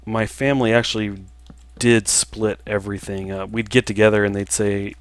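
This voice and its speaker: Relaxed, halting man in his thirties. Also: lowish voice, clean tone, no room sound. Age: 30s. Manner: relaxed, halting, many fillers, answers viewer questions. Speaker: livestreaming gamer and game developer. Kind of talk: casual livestream chat while playing.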